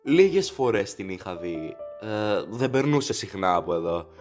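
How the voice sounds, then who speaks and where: A man's voice: on the low side, very animated, a little mellow, soft background music. A man playing a courteous, chatty factory director in an audio drama, formal, rambling and eager to please. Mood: polite, explanatory.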